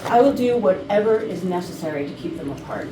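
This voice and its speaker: A woman's voice: on the low side, lively intonation, a little fast, lightly acted. A woman playing a dutiful, wary assistant to a company boss in a staged comedy reading. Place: rehearsal room. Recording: noisy echoey room, distant mic.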